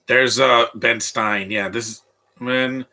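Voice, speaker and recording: Bright-voiced man rambling through film commentary. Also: mid-range voice, steady tone, slow. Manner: rambling, stop-start with repeated restarts. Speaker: movie commentator. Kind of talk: casual live movie commentary.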